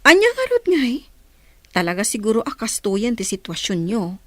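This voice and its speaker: A woman's voice: fairly high pitch, wildly swooping pitch, moderate pace, no echo. A woman playing an inquisitive, sympathetic aunt in a radio drama, with an Ilocano accent.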